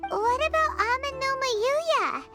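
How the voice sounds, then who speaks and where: A woman voicing a tiny, anxious and excitable childlike fairy companion in a video game, squeaky and exclamatory. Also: slow pace, loud music behind the voice, heavily acted. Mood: worried, curious. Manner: refers to self in third person, exclamatory.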